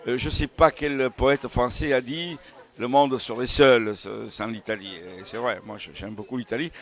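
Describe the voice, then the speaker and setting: A man speaking as a novelist in an unscripted interview about his own books, halting and searching for words as he digresses. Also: mid-range voice, steady tone, fairly bright tone. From Italian family background. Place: book festival.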